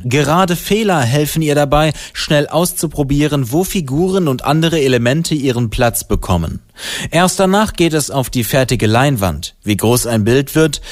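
A man's voice: mid-range voice, no echo, clean studio sound. A man narrating a scripted radio report as the reporter.

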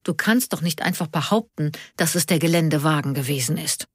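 A woman's voice: deep voice, fairly quick, breathy edge, no echo, clean studio sound. A woman voicing a sensible, cautious older man who reasons plainly and reluctantly, in an audiobook. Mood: firm, sensible. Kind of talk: audiobook character dialogue.

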